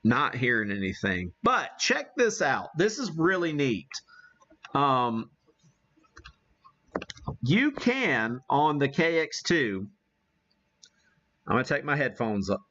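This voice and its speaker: An American man hosts a casual live demo with an unhurried, folksy delivery. He thinks aloud as he works, in an average-pitched voice. The sound is slightly muffled and lo-fi. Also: sing-song, bright, forceful tone. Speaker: host demonstrating amateur radio live. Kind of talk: casual live-stream chat while operating.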